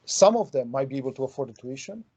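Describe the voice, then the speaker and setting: A man from Romania hosting a conversation, his voice mellow and dark. He speaks reflectively, with lots of ums and hedges. The video call audio is dull and muffled. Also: mid-range voice, lively intonation, quick pace, breathy edge. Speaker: interview host. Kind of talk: conversational interviewing.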